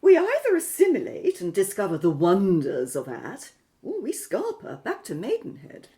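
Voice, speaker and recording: A woman playing an excitable, theatrical expedition member in an audio drama. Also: mid-range voice, moderate pace. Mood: pondering.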